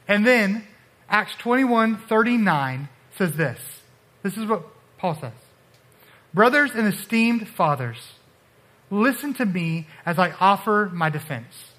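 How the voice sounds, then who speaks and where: Bright-voiced, casual preacher. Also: male voice, high voice, rough recording. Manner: casual, animated, with frequent fillers and acted-out quotes. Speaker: pastor. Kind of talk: sermon.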